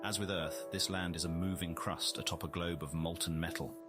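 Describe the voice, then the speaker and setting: A man playing a solemn scientific observer, narrating a visual novel in a measured, formal nature documentary style. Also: low voice, fairly even intonation, loud music behind the voice, lightly acted. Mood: informative. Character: solemn male scientific observer narrating alien whale life.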